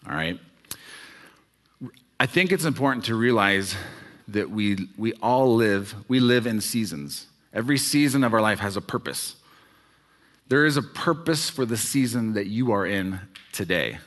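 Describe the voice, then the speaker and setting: Forty-year-old pastor preaching, low-voiced. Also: male voice, a bit bright. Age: 40s. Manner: conversational preaching with frequent "okay" check-ins and restarts. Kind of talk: sermon. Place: church sanctuary.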